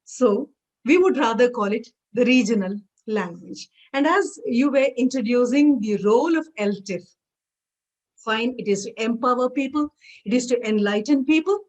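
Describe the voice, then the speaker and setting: An Indian English woman with Tamil as her first language, lecturing with a lively storyteller's touch. Her voice sits a little high, and she leans on repetition to drive each point home. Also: moderate pace, dull audio. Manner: emphatic, repeats phrases, animated storytelling. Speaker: guest lecturer.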